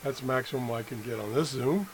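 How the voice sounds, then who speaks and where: A middle-aged man giving an unscripted hands-on demonstration as an amateur tester, halting and correcting himself as he thinks aloud. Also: mid-range voice. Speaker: amateur product tester and video host. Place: indoor room at a workbench. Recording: noisy room with background sound.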